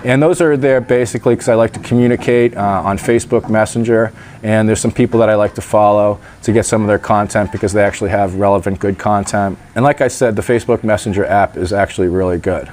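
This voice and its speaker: A man's voice: lowish voice, fairly even intonation, quick pace, a little mellow, a little hiss or hum. Stuffy-nosed man chatting to camera. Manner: chatty, wry asides. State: stuffed-up nose, a little nasal. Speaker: vlogger. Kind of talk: casual vlog talk to camera. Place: outdoors in sunshine by a gate.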